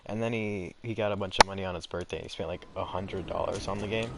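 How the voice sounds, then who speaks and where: A young man giving casual gameplay commentary, rambling and halting as if talking to himself. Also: low voice, fairly even intonation, gravelly, very noisy. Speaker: gaming video creator. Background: loud game music.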